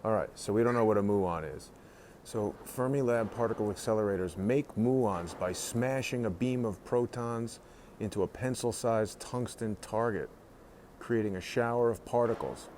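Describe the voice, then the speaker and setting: Inquisitive low-voiced man on a noisy stream. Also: normal speed, a little mellow. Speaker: host of a live-streamed tour. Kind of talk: casual commentary, reading exhibit signs aloud. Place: indoors, walking around a research facility. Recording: noisy live stream in a public building.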